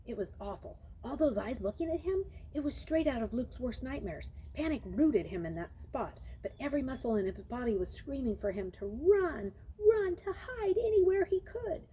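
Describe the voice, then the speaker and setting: A woman's voice: high voice, big pitch swings, moderate pace, few pauses, warm tone, a little hiss or hum, low-quality recording. A woman reading a children's novel aloud, narrating expressively and dramatizing the story.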